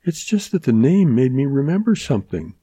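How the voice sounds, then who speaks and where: A man's voice: medium pitch, big pitch swings, brisk, warm tone, breathy edge, no echo, voice pushed up. A man voicing an earnest, tearful young ranch woman in a read western story. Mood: gentle. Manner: pleading, heartfelt.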